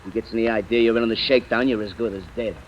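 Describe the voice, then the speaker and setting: A man's voice: lowish voice, fairly even intonation, rapid-fire, lo-fi sound, slight background noise, close to an everyday voice. An actor playing a tough gangster henchman in an old-time radio drama, talking in clipped slang. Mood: bullying. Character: tough gangster henchman, bullying but cowed by his boss.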